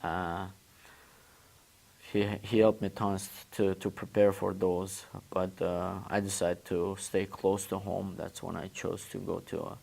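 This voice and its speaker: A young man from Uzbekistan speaks English, his fourth language, in a low, gravelly voice. His delivery stays flat and unhurried, with frequent restarts and repeated words. He is a guest answering questions on a local show. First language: Turkish. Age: 20s. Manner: halting, repeats words while searching for phrasing. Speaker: guest, former student athlete. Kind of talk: casual interview answers.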